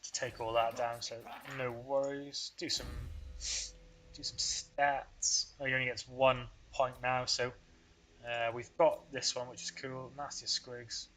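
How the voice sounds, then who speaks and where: A man narrating his strategy game session in a loose, rambling commentary, talking his moves through out loud. His voice is bright and forward, sitting at an ordinary pitch, and he often pokes fun at himself. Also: level tone, measured pace, slight background noise, rough recording. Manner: rambling, thinks aloud, self-deprecating. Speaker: let's play host. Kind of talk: casual gameplay commentary.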